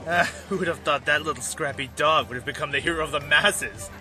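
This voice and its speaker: A man's voice: on the high side, brisk, pushed and bright. A man playing a weary drifting former soldier in an audio drama, wry and casual, with music behind him. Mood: incredulous. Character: weary drifting male ex-soldier, teasing, regretful brother. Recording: background music under the voice.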